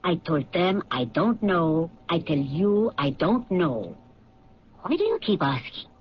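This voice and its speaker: Guarded economist, short answers, lowish voice, old radio play. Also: female voice, big pitch swings, takes it slowly, slight background noise. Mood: defensive. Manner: curt, defensive. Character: guarded female political economist, defensive. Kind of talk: 1970s radio drama acting. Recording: dull lo-fi 1970s radio recording.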